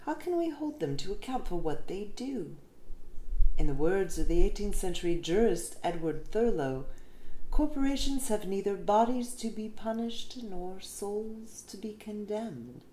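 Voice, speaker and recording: Female essay reader, lower register, measured yet animated phrasing; echoey room, faint background noise. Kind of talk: reading an essay aloud.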